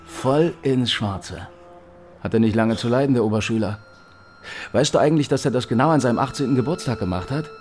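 A man's voice: medium pitch, brisk, darkish tone, a little hiss or hum, lightly acted. An actor playing a cruel, taunting male officer in a radio drama, with sarcastic mockery. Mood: mocking. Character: sneering male Stasi-style officer, cruel and mocking.

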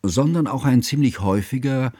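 An actor in a radio drama playing a devoted scholarly friend who narrates his memories in a measured, formal, reflective way. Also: mid-range voice, mellow, breathy edge, no room sound, clean studio sound, close to an everyday voice. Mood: measured, recollecting.

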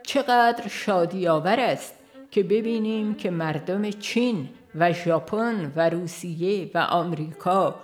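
Female narrator, lowish register, formal and measured delivery for a devotional book, soft string music underneath. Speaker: audiobook narrator. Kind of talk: scripted narration of a religious book.

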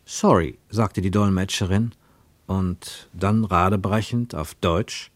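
A man narrating a literary radio play with expressive, scripted storytelling. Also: low voice, takes it slowly, no echo.

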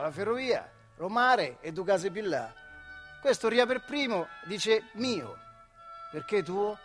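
A man tells a story as a theatrical monologue, in a rather high voice with a central Italian accent from the Marche. He is colloquial and emphatic, addressing the listener directly, with faint music behind him. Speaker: stage actor and storyteller.

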